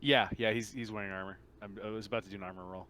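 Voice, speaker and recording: Male game master, lowish forward voice, casual halting narration, light noise behind. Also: steady tone, a bit bright. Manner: informal, halting, thinking aloud.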